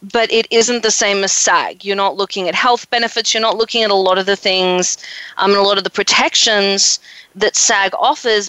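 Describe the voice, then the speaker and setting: Woman radio host, bright punchy voice, outspoken n chatty, dry sound. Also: mid-range voice, clean tone, no room sound, low-quality recording. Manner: outspoken, conversational, emphatic. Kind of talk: casual interview chat.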